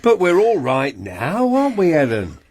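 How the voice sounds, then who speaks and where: A man's voice: a little high, wildly swooping pitch, moderate pace, darkish tone, husky, heavily acted. An actor playing a genial middle-aged husband and landlord who teases his wife fondly, in a period radio drama. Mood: concerned. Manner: hearty and fond, teasing his wife.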